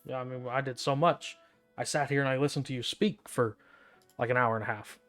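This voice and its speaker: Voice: mid-range voice, steady tone, brisk, fairly bright tone, faint music behind. A gaming streamer giving casual, reactive commentary over gameplay in a sarcastic, irreverent way.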